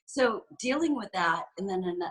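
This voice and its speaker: A woman in her forties from San Francisco speaks as a guest in a conversational interview. Her voice is bright and forward. She talks candidly and reflectively, with frequent ums and pauses. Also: mid-range voice, animated. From San Francisco, USA. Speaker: guest executive and entrepreneur.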